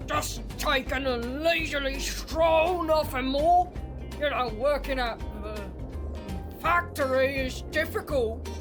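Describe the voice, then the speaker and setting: Woman playing a high-voiced, cheeky street kid. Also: slow, over music. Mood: breezy, cheeky. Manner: folksy, bluffing, stammering when pressed. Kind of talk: audio drama acting.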